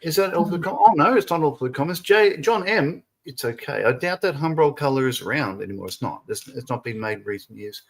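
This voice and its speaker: A man's voice: fairly high pitch, expressive, fast, gravelly, hardly any pauses. Chatty man hosting a stream. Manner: chatty, laughs often, reads viewer comments aloud. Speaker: stream host. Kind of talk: casual live-stream chat.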